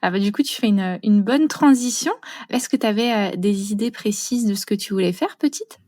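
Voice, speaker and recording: A woman's voice: mid-range voice, moderate pace, dry recording. Podcast host woman, dry close sound. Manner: relaxed, friendly questions with fillers. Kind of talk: casual interview chat.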